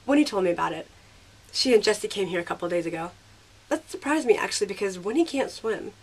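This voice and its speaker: Spirited teen heroine for audio drama, played by a woman: mid-pitched, expressive, independent yet caring. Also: fast talker, breathy edge, rough recording. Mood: warm, chatty. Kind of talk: amateur audio drama acting.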